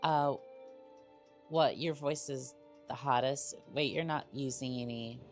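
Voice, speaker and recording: Woman, very low voice, slow delivery, husky and strained from a cough, rambling casual stream chat, faint game music behind. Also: steady tone. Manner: rambling, halting, many fillers and restarts. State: sick with a cough. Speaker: VTuber streamer. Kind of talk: casual live-stream chat while gaming.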